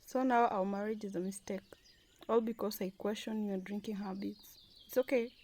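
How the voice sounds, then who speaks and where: A woman playing an indignant wife and demanding boss in a radio drama, reproachful and sharp-tongued. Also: medium pitch, steady tone, moderate pace, natural voice. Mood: hurt.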